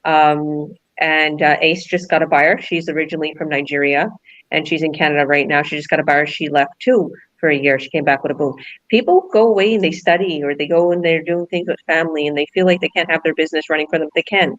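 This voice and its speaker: A woman's voice: deep voice, steady tone, fast, dry recording, highs cut off. A woman hosting as a business coach, giving emphatic motivational advice and repeating her key phrases.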